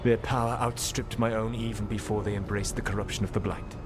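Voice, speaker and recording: An actor playing an ancient, proud elven rebel god in a game, speaking in a measured, formal, grave way. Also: male voice, on the low side, fairly even intonation, lightly acted. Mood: grave, dry. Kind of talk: dramatic game dialogue. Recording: loud music behind the voice.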